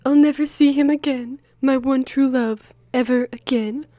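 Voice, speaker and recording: A woman's voice: high voice, fairly even intonation, normal speed, dark tone, no echo, voice pushed down, close to an everyday voice. A woman voicing a lovesick teenage girl in a melodramatic, mournful way for a comic dramatic reading. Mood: mournful resignation.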